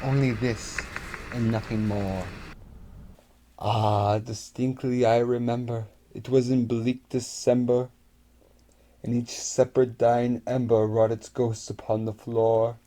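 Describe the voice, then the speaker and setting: A young man giving a theatrical, brooding recitation of gothic horror poetry, moving between hushed and loud delivery. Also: mid-range voice, little pitch movement, takes it slowly. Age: young adult. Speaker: performer reciting a poem. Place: furnished basement living room.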